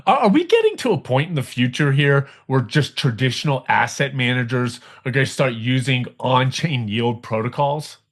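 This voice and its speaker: A man's voice: mid-range voice, sing-song, moderate pace, hardly any pauses. A man hosting a podcast interview, chatty and casual, asking questions. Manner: casual, chatty, with restarts and laughs. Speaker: podcast host.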